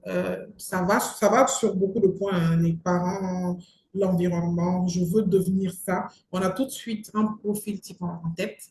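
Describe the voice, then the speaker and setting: A woman's voice: on the low side, a little mellow. A young woman gives conversational advice as an interview guest, emphatically repeating phrases for stress. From Mali. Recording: roomy echo with faint background noise.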